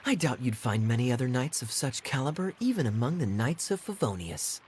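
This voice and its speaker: A woman's voice: rumbling low, very animated, brisk, a little mellow, a little hiss or hum. A woman voicing a cool, composed female adventurer in an anime-style game. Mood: impressed.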